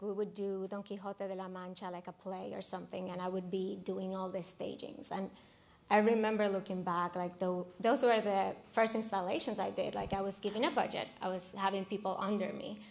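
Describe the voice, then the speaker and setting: A woman's voice: mid-range voice, level tone, fairly quick, a little mellow. A woman from the Dominican Republic, a native Spanish speaker with a Dominican accent, telling her career story conversationally as a designer on a panel.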